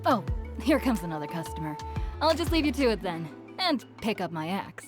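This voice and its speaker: A woman voicing a chatty, expressive female villager in a video game. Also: mid-range voice, normal speed, close to an everyday voice. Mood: friendly. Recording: game music behind the voice.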